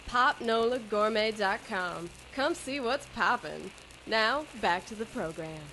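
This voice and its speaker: A woman's voice: mid-range voice, animated, a little slow, pushed and bright, a little hiss or hum, rough recording. A woman announcer reading an upbeat scripted sponsor ad in a folksy, playful manner. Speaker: advertisement announcer.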